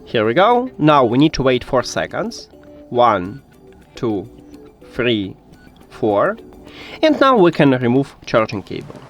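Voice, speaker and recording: Guy doing a how-to tech tutorial, pitch goes up and down a lot, bit halting, faint music. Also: huge pitch swings. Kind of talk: step-by-step spoken tutorial.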